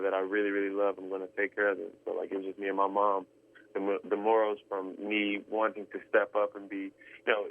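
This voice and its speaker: A man, talking earnestly in an interview, rambling with many restarts and fillers. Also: fairly low pitch. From Texas. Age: 20s. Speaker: guest drummer. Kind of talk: casual interview chat. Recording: tinny phone line.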